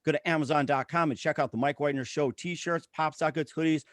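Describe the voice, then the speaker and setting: Guy hosting a show, kinda high voice, announcer style plugs and intros, really dry recording. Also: fairly even intonation, fairly quick, no room sound. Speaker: talk show host. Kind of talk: promotional show host talk, ad reads and guest introduction.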